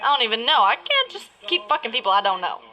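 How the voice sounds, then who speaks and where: A woman's voice: fairly high pitch, big pitch swings, quick pace, highs cut off, a loud crowd. A woman playing a bossy, foul-mouthed radio broadcaster, brash and exasperated, in a comedy parody. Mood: brash, frank.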